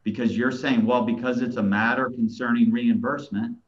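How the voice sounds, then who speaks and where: A middle-aged male attorney makes his case in oral argument, often tripping over repeated openings before settling into careful reasoning. His voice sits at an ordinary pitch and comes through a webcam with a dull, lo-fi sound from a small plain room. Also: fairly even intonation. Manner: stammering restarts, then measured legal argument. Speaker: attorney arguing an appeal. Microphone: video call webcam. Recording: dull, lo-fi video call audio.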